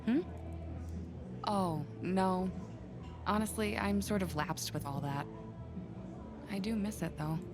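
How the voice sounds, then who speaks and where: A woman's voice: medium pitch, takes it slowly, long pauses. An actress voicing a guarded, defensive middle-aged corporate businesswoman in a video game, controlled and resentful under pressure. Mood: guarded, awkward. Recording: game audio with music underneath. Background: prominent music.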